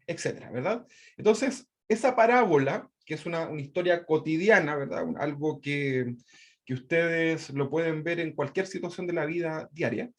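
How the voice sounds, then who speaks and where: High-voiced Chilean man teaching a class. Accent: Chilean Spanish. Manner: repeats points, keeps asking "¿verdad?". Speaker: Bible study teacher. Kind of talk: online Bible class lecture. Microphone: video call.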